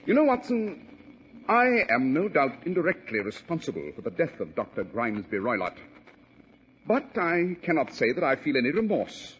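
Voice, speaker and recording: A cocky brisk detective in 1940s radio play, highish animated voice, bit of old hiss. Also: male voice, lo-fi sound. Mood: self-assured explaining. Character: brisk, self-assured male English detective, coolly unsentimental. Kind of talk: 1940s radio drama acting. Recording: noisy vintage 1940s radio recording.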